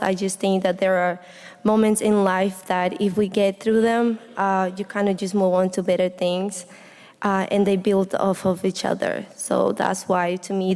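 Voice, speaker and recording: Young female student speaker, mid-pitched, earnest personal talk, recorded in a reverberant hall. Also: a little mellow. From Honduras. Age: young adult. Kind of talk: personal reflective speech to an audience. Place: indoor hall, speaking to an audience. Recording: echoey hall with long reverb.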